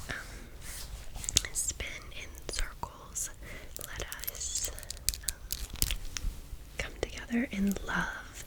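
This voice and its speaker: A woman hosting ASMR in a gentle whisper, drawing out and repeating words and phrases. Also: medium pitch, rough recording. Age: 30s. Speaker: ASMR video host.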